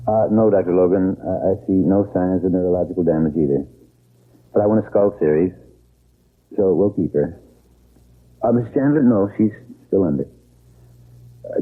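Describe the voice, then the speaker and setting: Low-voiced doctor, old thin radio sound. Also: male voice, moderate pace, pauses often. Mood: brisk, firm. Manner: clipped, instructing, matter-of-fact. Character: brisk, authoritative male hospital doctor examining a patient. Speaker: actor playing a hospital doctor. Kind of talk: 1970s radio drama acting. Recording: muffled, phone-like old radio broadcast recording.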